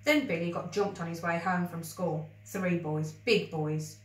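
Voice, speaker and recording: A woman reading a children's story aloud with expressive narration. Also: fairly low pitch, measured pace. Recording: roomy, echoey, distant microphone.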